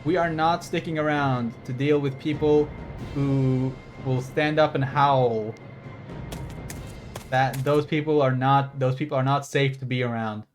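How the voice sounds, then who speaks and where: A man's voice: mid-range voice, steady tone, measured pace. A man streaming a game, giving casual live commentary in a rambling, repetitive way. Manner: rambling, repetitive, sweary reactions. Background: game music.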